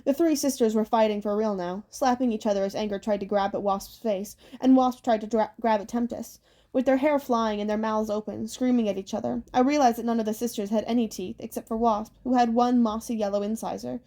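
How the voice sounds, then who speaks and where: Higher-pitched, mellow woman narrator. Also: steady tone, a little fast. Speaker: audiobook narrator. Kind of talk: scripted story narration.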